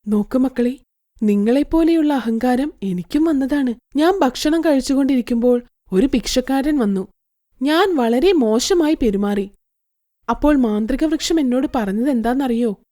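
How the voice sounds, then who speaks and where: A woman voicing a kind older mother-in-law in an animated story who speaks in a soft, low and gentle way. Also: moderate pace.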